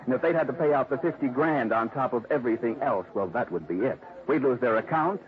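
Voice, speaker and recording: A man acting as a callous publicity man turned villain in a fifties radio play. He justifies himself defensively in a medium-pitched voice, on a thin, tinny old recording. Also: lively intonation, quick pace, natural voice. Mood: defensive, explaining. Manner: defensive, explanatory. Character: callous, self-justifying publicity man confessing his crime. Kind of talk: 1950s radio drama acting.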